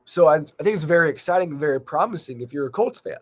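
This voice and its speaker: Guy doing solo sports commentary, kinda high voice, bit of a cold, conversational but punches the key points. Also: animated, fast talker. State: slight cold, losing his voice a little. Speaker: sports podcast host.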